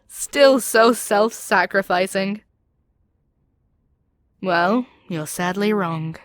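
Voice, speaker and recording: A woman's voice: on the low side, no echo, natural voice. A woman voicing an arrogant, seductive demon queen in an ASMR audio roleplay, speaking in an imperious, coaxing way that can drop toward a whisper. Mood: commanding, threatening. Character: arrogant, seductive demon queen probing a captive hero's mind.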